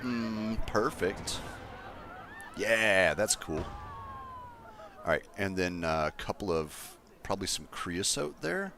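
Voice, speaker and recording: Deep-voiced relaxed man streaming a game. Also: slow, pauses often, background noise. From Colorado, USA. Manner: relaxed, thinks aloud while building, chats to viewers. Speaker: livestreamer. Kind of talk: casual gameplay livestream chat.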